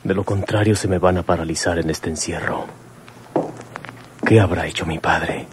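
A man's voice: deep voice, fairly even intonation, moderate pace, natural voice. A man playing a weary, anxious imprisoned son, brooding aloud in a radio drama on a noisy recording.

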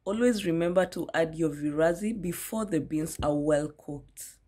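A woman's voice: deep voice, moderate pace. A young woman narrates her village cooking video, speaking directly to her viewers in a friendly way. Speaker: cooking vlogger. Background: loud background music.

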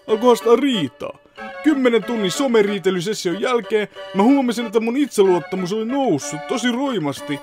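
A man playing a cocky, shameless teenage boy swordsman in an anime parody dub, casual and smug. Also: high-pitched. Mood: indignant, then earnest.

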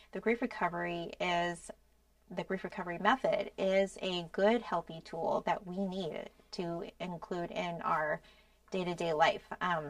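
A woman's voice: on the low side, no room sound, distant mic. A middle-aged American woman explaining things earnestly and a little hesitantly in a conversational podcast discussion. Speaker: podcast co-host explaining a grief program. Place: small indoor podcast studio. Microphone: podcast microphone on boom arm.

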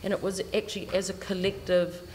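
A woman's voice: medium pitch, little pitch movement, a little mellow. A woman, speaking as an artist on a panel, often repeating words as she gathers her thoughts. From New Zealand. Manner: halting, repeats words while thinking. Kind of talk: reflective panel talk. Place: indoor art gallery. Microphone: stand mic. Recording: reverberant gallery, faint hiss.

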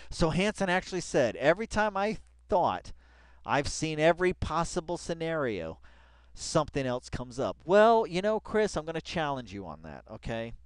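A man with a fairly high, clean voice in a dry, close recording. He gives casual commentary while reading aloud, rambling excitedly, repeating himself for emphasis and swearing offhandedly. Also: normal speed, clean tone, no room sound. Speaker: video commentator. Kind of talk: casual read-along commentary of a web article.

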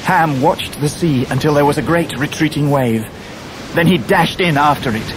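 An actor playing an earnest grown gentleman who narrates his own story in a radio play, with an English accent and measured, vivid delivery. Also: on the high side, close to an everyday voice. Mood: vivid, urgent. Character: grown David Copperfield, earnest grieving male narrator. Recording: noisy surf and wind behind voice.

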